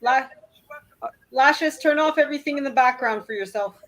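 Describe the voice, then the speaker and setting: A woman's voice: high voice, moderate pace, poor audio. A woman chatting on a live panel, hesitant and rambling. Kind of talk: casual chat.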